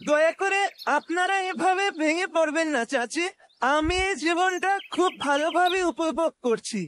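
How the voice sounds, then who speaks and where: A man acts out a dutiful young cartoon character. The voice sits extremely high and sounds polite, humble and reassuring, over faint background noise. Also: normal speed, a bit bright. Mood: earnest, reassuring. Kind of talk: cartoon dubbing.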